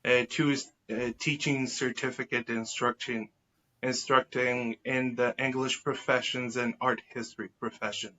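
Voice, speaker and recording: Man, native Hebrew speaker, podcast guest, even pitch, unhurried, wordy and formal, dull lo-fi recording. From Israel. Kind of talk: conversational interview answers. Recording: dull lo-fi sound.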